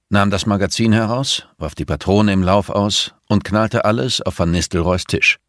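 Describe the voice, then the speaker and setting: A man reads fiction as an audiobook narrator. His pitch is on the low side. The recording is dry, with very little room sound. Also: dry recording.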